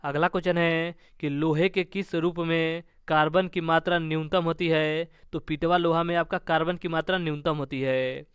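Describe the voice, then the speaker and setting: Crisp, clear male tutor. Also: fairly high pitch, fairly even intonation, moderate pace, hardly any pauses, fairly bright tone, no echo, studio quality. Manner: repetitive, formulaic question then answer delivery. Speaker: exam-prep teacher. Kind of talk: question-and-answer lesson narration.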